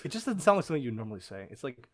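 A man's voice: mid-range voice, very animated, racing, a little mellow. A young man with an American accent, a podcast guest telling a story in casual chat and laughing often as he goes.

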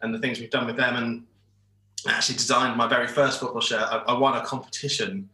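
A man in his forties from England talks over a video call, rambling and restarting his sentences. His voice is bright and forceful, and it comes through with a roomy echo. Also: mid-range voice, flat delivery, fairly quick. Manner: rambling, hesitant, many restarts. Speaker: shirt designer, guest. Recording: echoey room over internet call.

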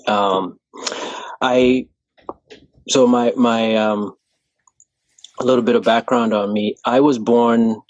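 A man's voice: medium pitch, steady tone, slow pace, pauses often, a little mellow, no echo, highs cut off. A young man from Kenya, a running coach, talking casually on a video call with an easygoing, rambling manner.

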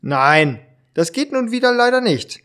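A man's voice: very high voice, sing-song, measured pace, fairly bright tone, voice pushed up, heavily acted. A man playing a shady, overly polite and dismissive impostor in an amateur radio play. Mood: falsely polite.

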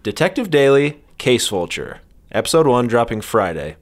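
A man's voice: medium pitch, very animated, normal speed. A man hosting a comedy podcast in casual, bantering talk. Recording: faint background noise, clicks.

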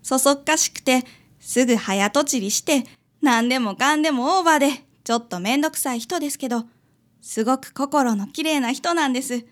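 Insecure young wife played by a woman, high register, self-effacing and sincere, for a radio play. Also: fairly quick, lightly acted. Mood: tender. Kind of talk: radio drama acting.

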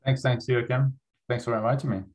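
A man's voice: medium pitch, steady tone, brisk, darkish tone. A man, telling his story as a guest in an informal, meandering way. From Iceland. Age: 50s. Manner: rambling, informal, hedging delivery. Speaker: podcast guest, company CEO. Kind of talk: casual interview storytelling.

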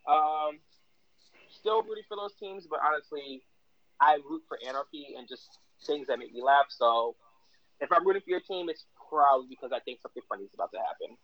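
A man from Brooklyn co-hosting a podcast in casual chat, animated, exclaiming and laughing often. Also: on the high side, a little fast, dry recording. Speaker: podcast co-host. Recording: dull dry lo-fi sound.

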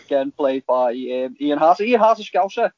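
A man in casual, halting podcast chat about TV, rambling as a co-host. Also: on the high side, animated, no room sound. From Liverpool, England. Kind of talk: casual chat reviewing TV shows. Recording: slightly dull, lo-fi audio.

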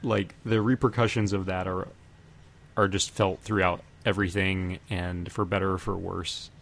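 Male co-host, low register, conversational and halting, slightly noisy recording. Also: flat delivery, gravelly, fairly bright tone. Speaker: podcast host. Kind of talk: casual TV show discussion. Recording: noticeable background noise.

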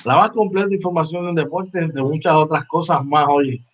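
A man talks sports in a lively, slangy way, looping back over his own phrases. His voice sits a touch high for a man. The call audio is muffled and lo-fi. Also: normal speed, noisy background. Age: 40s. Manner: animated, repeats phrases, slangy. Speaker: podcast co-host. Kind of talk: casual sports chat.

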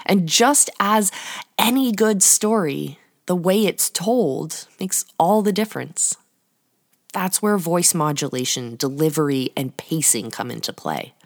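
A woman hosting a podcast, giving instructional tips in a deliberate, emphatic way with pauses. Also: on the low side. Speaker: podcast host.

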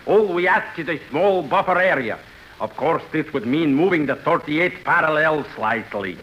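An actor playing a pompous, long-winded Soviet delegate with a Russian accent, orating in a radio comedy. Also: male voice, on the high side, expressive, normal speed, fairly bright tone, highs cut off. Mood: pompous, indignant. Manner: pompous, oratorical. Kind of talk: 1950s radio comedy acting. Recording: very noisy, echoey old radio broadcast.

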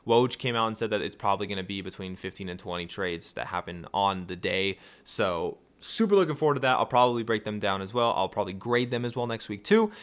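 A tired young man with a raspy voice, hosting a casual sports podcast and rambling through excited rhetorical questions. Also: on the low side, quick pace, hardly any pauses. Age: young adult. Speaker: podcast host.

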